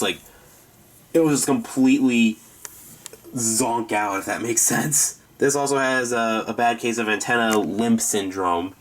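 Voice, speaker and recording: A man with a somewhat low-pitched voice talks through a hobby collection. His delivery is casual and informal, wandering through explanations like someone chatting to viewers. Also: a bit bright, rough recording. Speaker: hobbyist presenting a radio collection. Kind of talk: casual collection tour commentary.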